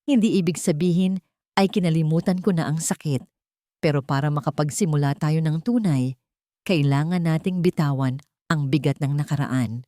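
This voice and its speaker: Woman narrator as a forgiving mother, resolute, solemn, measured, low-pitched, audio story, dry recording. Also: dark tone, no echo, lightly acted. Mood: solemn forgiveness.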